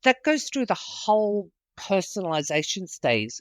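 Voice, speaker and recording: A woman with an Australian accent explains things confidently, in a crisp, businesslike way. Her voice sits at a middle pitch for a woman, and the recording is dry and close. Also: big pitch swings, unhurried, no echo. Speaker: guest, hospitality executive. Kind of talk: interview answers.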